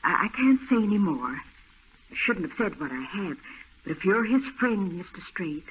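A woman's voice: medium pitch, big pitch swings, moderate pace, lightly acted. A woman playing an anxious old housekeeper. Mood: worried. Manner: hushed, anxious, pleading. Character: older woman housekeeper, protective and secretive. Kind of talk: 1940s radio acting. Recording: tinny old radio broadcast with faint hiss.

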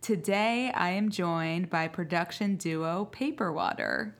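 Woman, podcast host, lower-pitched voice, casual interview style. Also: very animated, slow.

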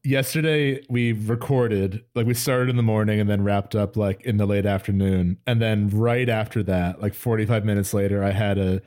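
A man co-hosting a casual podcast, a chatty storyteller who fills his talk with "like" and laughs quickly. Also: on the low side, fairly even intonation, darkish tone. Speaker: podcast co-host.

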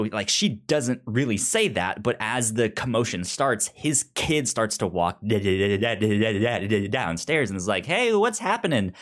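Male podcast co-host, low voice, informal and conversational, slips into paraphrased dialogue while retelling stories. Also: fairly bright tone. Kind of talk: casual comic-book discussion.

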